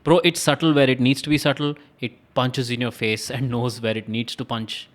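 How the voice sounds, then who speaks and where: An Indian-accented man in a casual podcast conversation, with a mid-pitched voice. He makes his case emphatically, telling stories informally and repeating phrases to drive them home. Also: flat delivery, normal speed. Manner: emphatic, informal storytelling with rhetorical repetition. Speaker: podcast guest.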